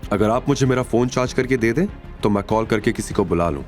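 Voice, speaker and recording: A man's voice: on the low side, quick pace, darkish tone, faint music behind, lightly acted. A man playing a proud, defiant company chairman in an audio drama, serious and insistent. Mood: calm, serious. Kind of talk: audio drama character acting.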